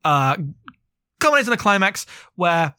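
A man with a slightly high voice talks as a podcast co-host in a close, dry recording. He recaps and discusses a book casually, feeling his way along in a halting, rambling manner with frequent asides. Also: very animated, bright, forceful tone, clean tone, dry recording.

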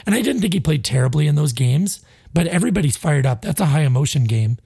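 Gravelly, husky man ranting about hockey. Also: a little high, expressive, fast talker, mellow, no echo, studio quality. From Canada. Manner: frustrated, blunt, swears freely. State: stuffy, sinusy. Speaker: podcast co-host. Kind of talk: casual sports podcast rant.

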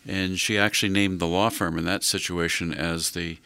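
A mature American man hosting a conversational interview, explaining things deliberately and posing leading questions. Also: sits low, steady tone, bright, forceful tone, clean tone. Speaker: host and legal adviser. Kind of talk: conversational interview on legal planning.

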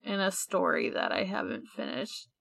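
A woman's voice: fairly low pitch, big pitch swings, normal speed, vocal fry, a bit bright, no echo. A woman podcast co-host chatting casually in a hesitant way, often trailing off and swearing offhandedly. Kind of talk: casual chat.

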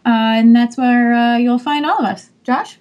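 A woman's voice: on the high side, normal speed, darkish tone, muffled recording. A woman publisher on a podcast panel, giving out websites and upcoming projects in a casual, promotional way. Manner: casual and promotional, many fillers. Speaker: publisher and editor-in-chief.

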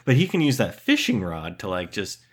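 A thirty-year-old man with a lowish voice talks in a relaxed, joking way, adding his own ideas to his friend's. He sounds easygoing and unhurried. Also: slightly rough. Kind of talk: casual brainstorming chat.

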